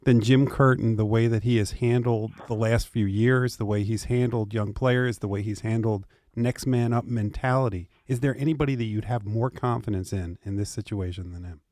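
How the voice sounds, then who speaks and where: A man's voice: fairly low pitch, fairly even intonation, moderate pace, hardly any pauses, darkish tone, dry recording, studio quality. A man co-hosting a sports talk show, asking a guest questions with enthusiasm. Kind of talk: interviewing a guest.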